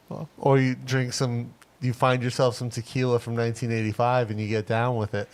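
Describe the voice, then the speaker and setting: This is a man in his forties co-hosting a livestream, talking in an easy, rambling way. He leans on fillers and laughs readily, and his pitch sits at a plain, everyday middle. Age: 40s. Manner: relaxed, filler-heavy, laughs often. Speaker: livestream co-host. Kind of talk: casual livestream chat.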